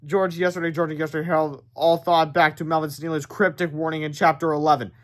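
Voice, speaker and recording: A man's voice: on the high side, little pitch movement, fast. A man narrating a children's storybook aloud in a theatrical, informal way.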